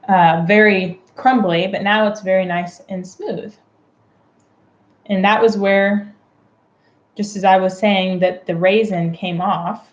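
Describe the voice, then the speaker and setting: Woman in an echoey room, unhurried baking tutorial. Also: mid-range voice, muffled recording. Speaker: host of a home baking tutorial. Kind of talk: casual unscripted how-to demonstration. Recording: roomy, distant mic with clear background noise.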